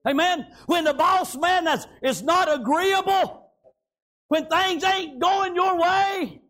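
A man's voice: extremely high pitch, fairly bright tone. A man preaching a fervent revivalist sermon, impassioned and exhorting, punctuating phrases with amen and praise God.

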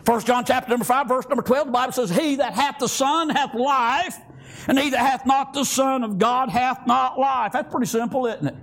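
Older man, very high-pitched voice, Southern American accent, fervent preaching, folksy phrasing, frequent amens. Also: moderate pace, fairly bright tone. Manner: folksy, punctuated with frequent amens and praise God. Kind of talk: fervent church sermon.

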